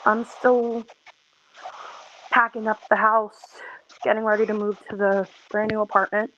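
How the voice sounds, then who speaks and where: A woman in her twenties venting in a personal video, talking in a halting, weary way with many pauses and restarts. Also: medium pitch, little pitch movement, lo-fi sound, a little hiss or hum. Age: 20s. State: emotionally tired. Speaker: content creator.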